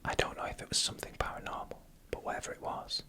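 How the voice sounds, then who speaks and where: A narrator telling stories in a breathy, unvoiced ASMR whisper, in hushed and deliberate short phrases. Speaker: narrator and channel host.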